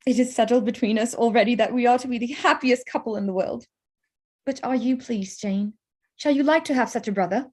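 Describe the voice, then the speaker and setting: A woman playing a witty, spirited young gentlewoman in a staged reading of a Regency romance, arch and composed. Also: a little high, fairly quick, close to an everyday voice. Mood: happy, sincere. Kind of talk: staged reading of a period drama.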